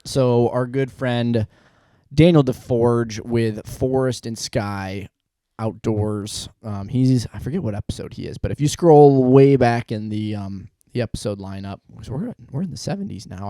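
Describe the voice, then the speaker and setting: A man's voice: medium pitch, normal speed, mellow, no room sound, clean studio sound. A man hosting a podcast, talking casually and bantering with his co-host. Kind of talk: casual banter and show intro.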